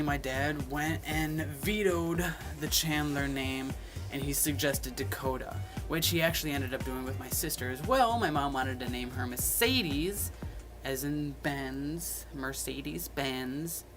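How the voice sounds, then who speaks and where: A man's voice: mid-range voice, measured pace. Young man in his twenties, casual vlog chat, phone mic, music playing behind. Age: 20s. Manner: chatty, reads each question aloud, then answers. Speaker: vlogger. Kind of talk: casual chat answering questions to camera.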